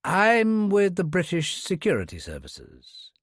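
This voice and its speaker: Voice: mid-range voice, huge pitch swings, no echo, clean studio sound, voice pushed up. A narrator as a polite British army major. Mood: earnest. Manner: polite, formal and measured. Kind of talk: audiobook character voice.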